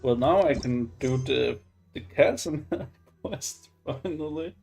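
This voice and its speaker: A man giving casual gameplay commentary, halting and thinking aloud as he goes. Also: medium pitch, gravelly, darkish tone, faint music behind. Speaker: game streamer.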